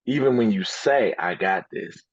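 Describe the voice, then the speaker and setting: A man's voice: on the low side, expressive, measured pace, lo-fi sound, a little hiss or hum. A man hosting a podcast who talks emphatically and repeats phrases to drive his point home. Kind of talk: podcast conversation.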